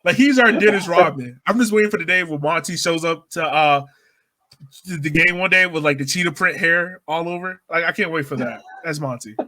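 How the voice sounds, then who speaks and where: A man hosting a sports podcast, chatting casually and colloquially about soccer in an animated, joking way. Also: a little high, a little fast, no echo. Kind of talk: casual soccer game discussion.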